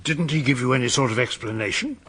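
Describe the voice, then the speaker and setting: An actor playing a gruff, imperious upper-class British gentleman in a radio drama. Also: mid-range voice, fast, a little hiss or hum, close to an everyday voice. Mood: suspicious.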